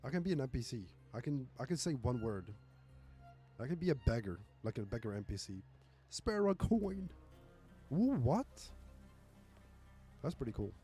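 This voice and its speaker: Male game streamer, soft dark timbre, casual reactions and chat, light music underneath. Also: medium pitch, very animated, moderate pace, pauses often. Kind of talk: casual livestream commentary.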